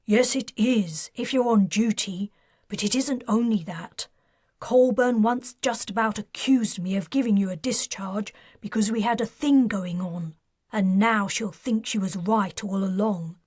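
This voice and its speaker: A woman's voice: medium pitch, unhurried, a little mellow, breathy edge, no room sound, studio quality. A woman voicing an earnest, guilt-ridden army major.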